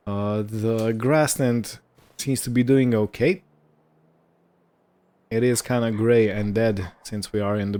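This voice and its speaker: A man giving casual roleplay gameplay commentary in a hesitant, rambling, unhurried manner. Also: lowish voice, mellow, clear, resonant tone. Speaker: gaming video host.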